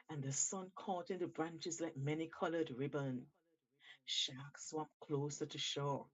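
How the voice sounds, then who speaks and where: A woman telling an animal folktale on the radio, with theatrical, deliberate phrasing and an expressive delivery. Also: deep voice, dull audio. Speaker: radio storyteller.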